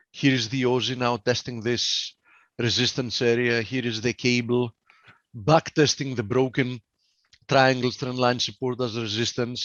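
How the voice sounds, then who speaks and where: A man's voice: medium pitch, a bit bright, no room sound. A man with a Greek accent talking through market charts and economic data on a live webinar, with many fillers and hesitations. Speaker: market analyst. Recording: dull lo-fi online call audio.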